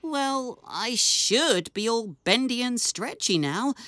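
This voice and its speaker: A woman voicing a cheerful, eager, helpful young male steam engine in a children's cartoon, earnest, polite and expressive. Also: a little high, slow, no echo, heavily acted. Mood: earnest, urgent. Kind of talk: animated children's cartoon acting.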